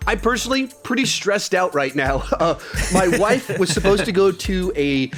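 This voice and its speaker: A man's voice: sits high, animated, normal speed, a bit bright, faint music behind. A man hosting a podcast in a casual, conversational style. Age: adult with young child. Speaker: podcast host.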